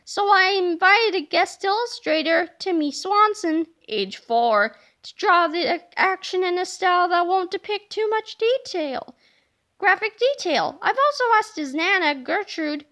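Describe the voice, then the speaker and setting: Very high, bright woman reading aloud. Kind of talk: expressive children's book narration.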